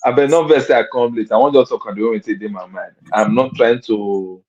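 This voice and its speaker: A male caller from Nigeria speaks with a Nigerian Pidgin English accent. He talks haltingly and gropes for his words. His audio comes through a dull, muffled line. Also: medium pitch, a little mellow. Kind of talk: casual call-in chat.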